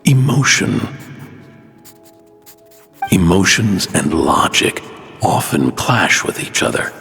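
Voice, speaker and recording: A man playing a gentle, wise scholar in a video game, speaking in a measured, solemn, reflective way with a low, creaky voice. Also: sing-song, heavily acted. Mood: gentle, explanatory. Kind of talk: scripted dramatic game monologue. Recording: long hall reverb over music.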